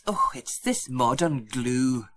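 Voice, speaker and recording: A kindly fussy post office woman, chatty, slow paced, super swoopy voice, kids story. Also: a bass voice, sing-song, exaggerated voice. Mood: fussy, puzzled. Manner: chatty, exclaiming. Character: fussy, kindly village postmistress, easily amazed. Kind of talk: dramatised children's storybook reading.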